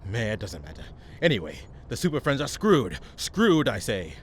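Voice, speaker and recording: A man's voice: lowish voice, big pitch swings, normal speed, slight background noise. A man playing a grandiose, irritable supervillain leader who schemes and snaps orders in a comedic radio play. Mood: blustering. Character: bossy, grumpy supervillain leader, scheming and easily exasperated.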